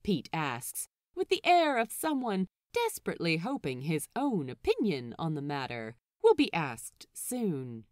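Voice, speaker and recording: A woman reading a story aloud as narrator, in an expressive, scripted storytelling style. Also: sits low, a little slow, few pauses, dry recording, studio quality.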